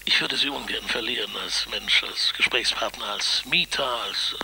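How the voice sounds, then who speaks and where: An actor playing a curt, haughty, grasping lawyer and landlord in a radio play, with a cutting, sarcastic manner. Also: male voice, lowish voice, normal speed, very noisy, natural voice. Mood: curt, menacing.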